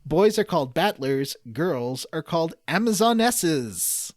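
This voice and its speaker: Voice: medium pitch, very animated, a little slow, a little mellow, no echo, studio quality. A podcast co-host giving a casual, comedic recap of a video game story and acting out the characters' lines. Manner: acts out characters' lines in quoted voices.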